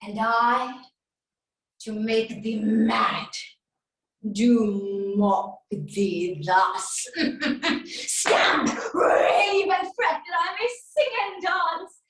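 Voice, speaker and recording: A woman playing a vengeful, mocking warrior queen in a classical Shakespearean stage monologue, with theatrical, taunting, drawn-out delivery. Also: a little high, animated, a bit bright, slight background noise, exaggerated voice. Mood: gleeful taunting. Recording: echoey room, distant microphone.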